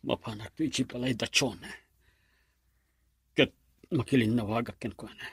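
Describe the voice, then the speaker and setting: Husky, drunken lovesick young man. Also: medium pitch, normal speed, dry recording. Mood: sulky, heartbroken. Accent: Filipino. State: acting drunk. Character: drunk, lovesick, stubborn young man sulking to his mother. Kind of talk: radio drama acting.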